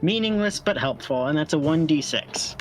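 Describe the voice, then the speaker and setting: Player with a middling pitch casually describing their rpg character, faint music behind. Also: lively intonation, moderate pace. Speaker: player in a tabletop roleplaying game. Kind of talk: casual third-person character narration. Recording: music playing softly behind. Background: faint background music.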